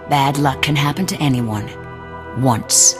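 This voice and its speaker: A hard-nosed female resistance leader barks curt orders in a deep, low voice. She sounds distrustful and commanding. The game cutscene rings with a long echo under a loud musical score. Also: measured pace, music in the background. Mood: stern.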